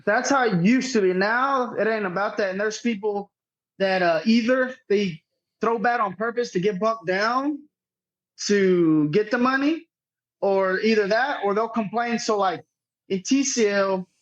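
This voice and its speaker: High-voiced man, muffled audio. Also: measured pace. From Northeast Texas, United States. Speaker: podcast guest, tournament director. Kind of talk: casual interview chat with anecdotes. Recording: dull, lo-fi remote audio.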